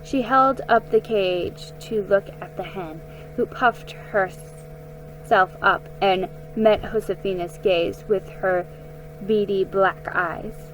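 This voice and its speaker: A woman giving a dramatic, expressive reading of a children's story, with a slightly halting delivery. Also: mid-range voice, low-quality recording. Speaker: amateur story reader. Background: music under the reading.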